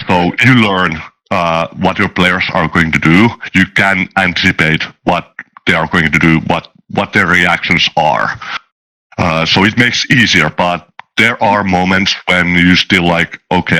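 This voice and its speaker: Deep, bright-voiced man answering hesitantly. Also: steady tone, measured pace, poor audio. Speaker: guest, tabletop game master. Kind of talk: casual interview answers.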